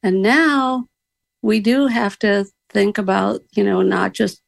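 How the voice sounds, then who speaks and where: A woman's voice: mid-range voice, slow, gravelly, dry recording. An older woman with grandchildren, speaking candidly in a casual call-in group discussion and laughing often. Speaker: call-in participant.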